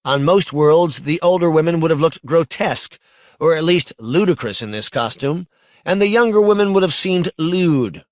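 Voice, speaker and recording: A man narrating literary science fiction as an audiobook, measured and articulate in his reading. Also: a little high, animated, no room sound, clean studio sound.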